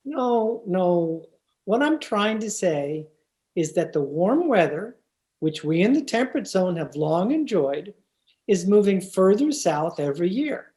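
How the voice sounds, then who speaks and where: A woman's voice: medium pitch, mellow. A woman playing an earnest, pedantic, mild-mannered male science teacher in a 1950s-style radio comedy, speaking hesitantly.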